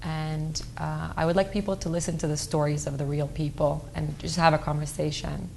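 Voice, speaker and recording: A woman guest on a talk show, speaking in an earnest, measured way. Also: low-pitched, flat delivery, vocal fry, darkish tone, slight background noise. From Israel. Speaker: guest emissary. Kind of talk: interview on local talk show.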